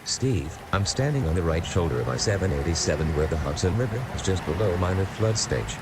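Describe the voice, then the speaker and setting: A low-voiced male news correspondent, played by a man reports from the field. He is serious and measured. Very loud outdoor vehicle noise runs behind his voice. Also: animated. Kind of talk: scripted live news report.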